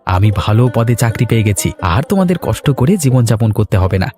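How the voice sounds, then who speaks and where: A man's voice: mid-range voice, sing-song, quick pace, darkish tone, lightly acted. A man voicing a proud, self-assured young village son in a cartoon story. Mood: elated. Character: proud young village son, newly employed, low-voiced. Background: music.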